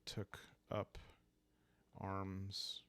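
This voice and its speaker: A man voicing a proud medieval crusader who recounts his life in a solemn, measured way for a sleepy roleplay. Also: sits low, monotone, fairly bright tone, clean tone, no room sound, heavily acted.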